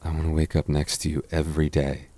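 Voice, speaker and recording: A man playing a devoted young fantasy prince in a romantic roleplay, speaking tenderly and haltingly with gentle teasing. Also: rumbling low, flat delivery. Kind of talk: romantic boyfriend roleplay ASMR.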